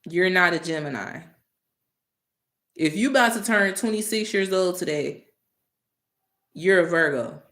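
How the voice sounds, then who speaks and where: A woman hosting a live stream, talking casually and directly to her chat, animated and exasperated with commenters. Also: lowish voice, unhurried, long pauses, reverberant.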